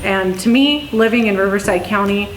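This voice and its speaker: A woman's voice: mid-range voice, moderate pace. Woman reading storybooks in a roomy space. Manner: expressive storytelling for children. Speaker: police dispatcher reading stories aloud. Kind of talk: children's storybook read-aloud. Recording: roomy echo, faint background noise.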